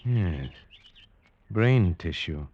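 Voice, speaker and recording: An actor playing a calm, analytical investigator, speaking in a measured, thoughtful way in 1940s radio drama style. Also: male voice, sits low, exaggerated voice. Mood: grave, puzzled. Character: calm, analytical male investigator narrating his tale. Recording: dull vintage radio recording with music.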